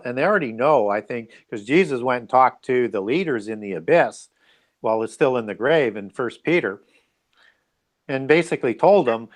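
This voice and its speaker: Male interview guest, medium pitch, conversational and explanatory, thinks aloud haltingly. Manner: halting, explanatory, often adds "right?" and "you know". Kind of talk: conversational interview answers on religious conspiracy.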